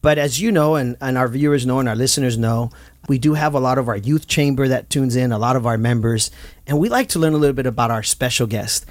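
A man's voice: mid-range voice, fast talker, no echo. Upbeat man hosting a community podcast. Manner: upbeat, laughs often, gives shout-outs. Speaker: podcast host. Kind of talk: casual interview hosting.

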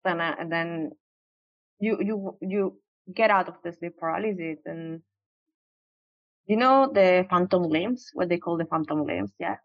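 A woman speaks as a podcast guest in casual conversation, often hesitating and restarting her sentences. Her voice sits slightly low for a woman. The recording is dry with no room sound and has a dull, lo-fi tone. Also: measured pace, no room sound. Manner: hesitant, many restarts and fillers. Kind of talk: casual interview chat.

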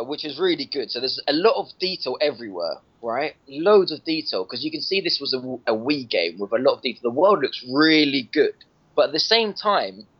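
A man chatting casually on a gaming podcast, rambling with lots of restarts and laughing at his own jokes. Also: on the high side. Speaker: podcast guest reviewing a game. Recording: lo-fi podcast audio.

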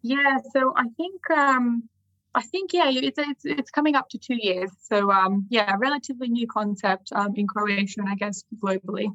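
A woman's voice: on the high side, moderate pace, fairly bright tone, no echo. A woman with an Australian accent, a lawyer answering interview questions hesitantly with many ums, over a video call. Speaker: guest lawyer. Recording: dull video call audio.

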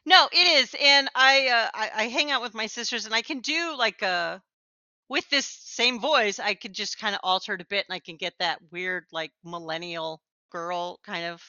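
A woman's voice: mid-range voice, normal speed, bright, forceful tone, no echo, lo-fi sound. A woman with an Upper Midwest accent, chatting casually on a podcast, self-deprecating and laughing often. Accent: Upper Midwest American. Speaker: podcast co-host. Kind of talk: casual chat.

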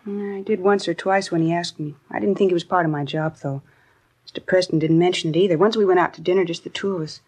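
An actress playing a disgruntled young secretary in a 1950s radio crime drama, talking in casual, complaining, clipped phrases. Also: female voice, fairly low pitch, fairly even intonation, quick pace, darkish tone, breathy edge. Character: disgruntled young secretary, talkative, put-upon, street-wise.

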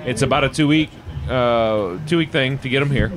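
A man in his late thirties chatting easily on a podcast, pausing often with fillers. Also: medium pitch, low-quality recording. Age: 30s. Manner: easygoing, halting with fillers. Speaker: podcast host. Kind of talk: casual group chat. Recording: music playing behind.

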